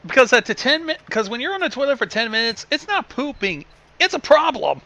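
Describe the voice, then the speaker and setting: Man, casual reaction chat, crude, sweary, high voice, very animated. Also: a little fast, creaky. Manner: sweary, exclamatory. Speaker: co-commentator on a fan fiction reading.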